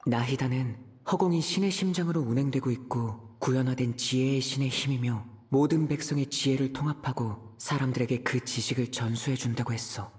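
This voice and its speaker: Man as a pensive young traveler, medium pitch. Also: a little fast, few pauses, darkish tone, natural voice. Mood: reflective. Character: young male traveler hero, serious and thoughtful. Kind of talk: video game inner deduction monologue.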